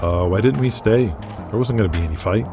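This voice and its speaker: A man's voice: fairly low pitch, a little fast, natural voice. A man voicing a naive, earnest young wrestling newcomer in an audio drama, hesitant and literal-minded. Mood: puzzled. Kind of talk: audio drama character acting. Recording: music bed under the voice. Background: music.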